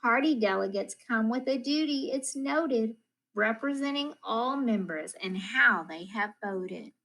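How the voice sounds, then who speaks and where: Female storybook reader, school-educator read-aloud. Higher register, unhurried pace, rhythmic and expressive delivery of children's verse. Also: vocal fry, poor audio. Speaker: school math coach reading aloud.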